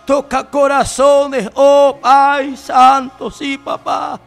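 A man's voice: extremely high pitch, level tone, drawn-out words, vocal fry, soft background music. A man leading fervent Pentecostal intercessory prayer, pleading and exclaiming in repeated phrases. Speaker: prayer leader.

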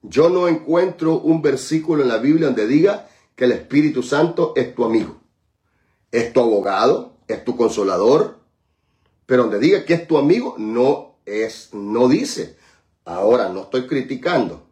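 A man preaching a sermon, calling on the congregation to repeat after him and slowing right down to stress scripture. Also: mid-range voice, animated, darkish tone. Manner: preaching with call-and-response, dramatic slowed emphasis on scripture. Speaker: pastor.

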